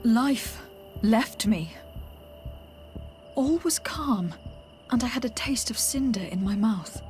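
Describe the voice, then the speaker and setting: An actress playing an earnest, composed young noblewoman in a video game, speaking gravely and carefully. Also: on the high side, animated, slow, darkish tone, soft background music. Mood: awed, hushed.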